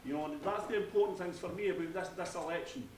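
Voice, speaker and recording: A man giving a loud, forceful campaign speech as a candidate at a debate, repeating phrases for emphasis. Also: high voice, fast. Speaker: election candidate. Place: university debate hall. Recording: echoey hall, far from the mic, faint noise.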